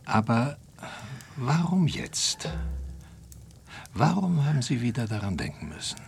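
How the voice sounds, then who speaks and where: An actor playing an attentive, jovial, probing physician in a radio play, with a gravelly voice. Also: male voice, mid-range voice, very animated, unhurried, soft background music. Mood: probing, concerned. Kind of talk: German radio play acting.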